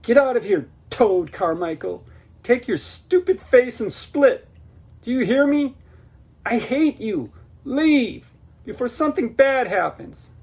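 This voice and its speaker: A bossy young girl from a storybook, played by a male narrator, curt and quick to snap. The voice has a husky edge and speaks with stubborn defiance. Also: extremely high pitch, very animated, darkish tone, pitched above the performer's own voice, exaggerated voice. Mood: furious, shouting. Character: young girl Lily, stubborn, angry, secretly frightened water witch. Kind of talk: audiobook character dialogue.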